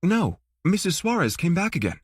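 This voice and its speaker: Man voicing a high-pitched jittery office woman. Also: very animated, moderate pace, breathy edge, no echo, studio quality, full character voice. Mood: uneasy. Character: jittery female office worker, informal and guarded.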